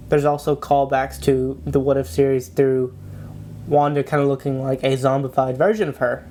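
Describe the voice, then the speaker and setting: A man with a stuffy, congested cold giving a low-energy scripted breakdown narration as a video host. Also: a little mellow, a little hiss or hum.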